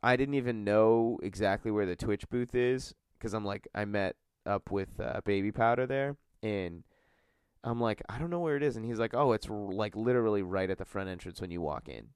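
A man's voice: lowish voice, moderate pace, smooth, no echo, studio quality. A man hosting a podcast, congested from a cold, chatting casually in a rambling, filler-heavy way. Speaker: podcast host.

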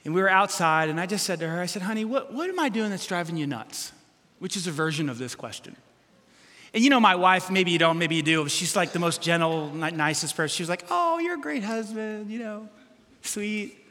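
A middle-aged pastor with a loud voice preaching, animated and self-deprecating, with sudden loud bursts as he tells stories. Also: high voice, a little fast. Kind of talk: sermon. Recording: long hall reverb.

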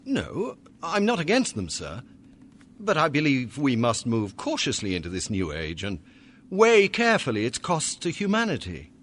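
An actor playing an earnest, emotional young clerk in a radio drama. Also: male voice, medium pitch, wildly swooping pitch, normal speed, a bit bright, a little hiss or hum. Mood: polite, earnest. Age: young adult. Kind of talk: family radio drama acting.

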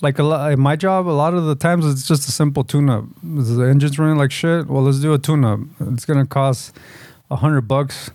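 A man's voice: a little high, normal speed, darkish tone, no echo, clean studio sound. A man co-hosting a podcast, talking casually and profanely and switching between Spanish and English. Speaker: podcast co-host. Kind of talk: casual chat.

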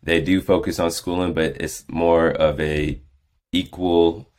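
A man's voice: sits low, little pitch movement, unhurried, a little mellow. A man in his twenties with an American accent, a podcast guest talking casually with many fillers and restarts. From born in England, raised in the United States. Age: 20s. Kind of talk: casual conversation.

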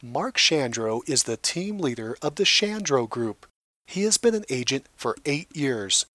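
A man's voice: medium pitch, sing-song, measured pace, pushed and bright, no echo. A man hosting an interview podcast, delivering introductions and questions in a presenter's style. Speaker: podcast host.

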